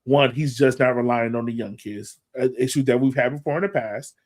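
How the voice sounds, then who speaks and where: A man's voice: mid-range voice, brisk, a little mellow. A man co-hosting a sports podcast in casual fan chat, talking emphatically and repeating phrases to drive points home.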